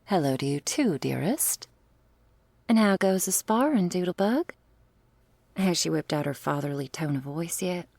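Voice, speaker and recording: A woman's voice: fairly low pitch, lively intonation, moderate pace, gravelly, a little mellow, dry recording. A woman voicing a playful, irreverent rebel vampire lover in an ASMR roleplay, with a blunt, informal, teasing manner.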